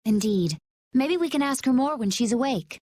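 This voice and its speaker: A woman playing a poised, serene, gently teasing young warrior in a video game. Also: a little high, lively intonation, moderate pace, dry recording.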